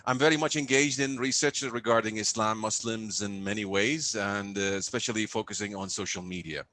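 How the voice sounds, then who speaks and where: A man's voice: on the low side, fairly even intonation, brisk, bright, forceful tone, no room sound. A man from Iraq, a university faculty member, introducing himself on a video call with many fillers and restarts. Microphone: computer microphone on a video call.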